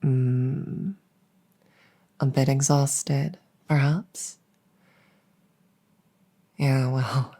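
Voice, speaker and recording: Woman playing vampire maid, mellow, slow, polite, soothing, attentive, ASMR roleplay. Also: extremely low pitch, exaggerated voice. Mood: soothing, caring. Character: devoted, gentle vampire maid serving a weary monarch. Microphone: close stereo condenser mic.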